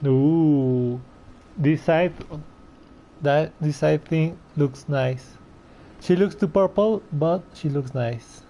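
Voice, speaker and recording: Gravelly-voiced male game streamer. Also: fairly high pitch, slow, mellow. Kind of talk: casual gameplay commentary.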